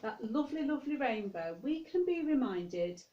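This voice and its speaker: A woman's voice: high-pitched, very animated, moderate pace, dull audio. A woman reading a picture-book story to young children, expressively, with chatty asides spoken straight to the listeners.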